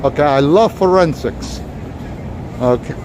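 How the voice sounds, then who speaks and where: A man's voice: fairly high pitch, measured pace. A man giving a rambling, casual walking tour to his viewers while walking down a city street. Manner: chatty, rambling, talks to viewers. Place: outdoors on a busy city street. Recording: noisy street recording. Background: street traffic noise.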